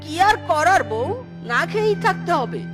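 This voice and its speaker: Woman playing a high, plaintive poor husband fox. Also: very animated, moderate pace, music in the background. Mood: resigned, worried. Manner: plaintive, weary, cautious. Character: poor, crippled, resigned husband fox in a cartoon. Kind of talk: children's cartoon voice acting.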